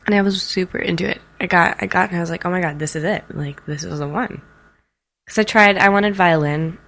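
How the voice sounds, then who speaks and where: A woman's voice: on the low side, brisk, creaky, fairly bright tone. A woman from Israel chatting casually and playfully as an interview guest, informal and a little self-deprecating. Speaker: interview guest, jazz bassist. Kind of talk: casual chat.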